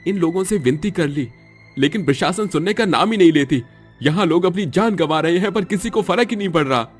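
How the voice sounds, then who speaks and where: A frustrated young village man played by a male actor in a cartoon tale. His voice is on the high side, earnest and full of complaint. Background music accompanies him. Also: lively intonation, fairly quick, husky.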